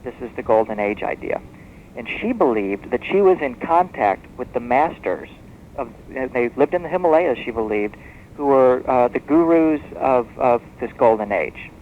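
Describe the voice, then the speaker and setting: Guy guesting on old shortwave radio, explaining stuff at length, dull sound with some hiss and rumble. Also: animated, normal speed. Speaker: guest expert. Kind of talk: radio interview, explanatory storytelling. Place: radio broadcast interview. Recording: muffled noisy shortwave radio tape.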